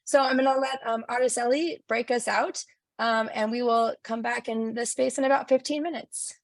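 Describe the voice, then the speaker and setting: A woman's voice: on the high side, steady tone, normal speed, no room sound. A woman facilitating an online workshop over a video call, upbeat and speaking with frequent um fillers. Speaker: webinar facilitator.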